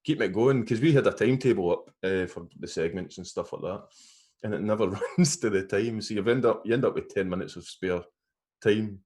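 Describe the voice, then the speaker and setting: Scottish male guest, deep voice, loose rambling delivery over a video call. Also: brisk, darkish tone. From Scotland. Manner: rambling, restarts often. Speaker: guest vape reviewer. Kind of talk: casual chat.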